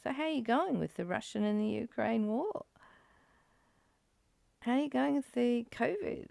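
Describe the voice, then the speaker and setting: A woman's voice: fairly high pitch, lively intonation, normal speed, creaky, darkish tone, no room sound. A woman giving a spiritual teaching, reading aloud and reflecting in an earnest, emphatic manner. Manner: earnest, emphatic, with rhetorical questions and repeated phrases. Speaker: spiritual teacher leading a study of a course text.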